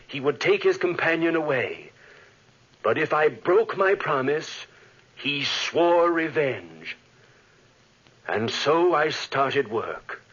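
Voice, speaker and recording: A tormented male scientist, in melodramatic 1940s radio style. The voice is rough-edged and forceful, with big swings in pitch. It comes through a dull old broadcast recording. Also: exaggerated voice. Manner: melodramatic, anguished. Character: tormented, guilt-ridden male scientist who created a monster. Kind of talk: 1940s radio acting with dramatic narration.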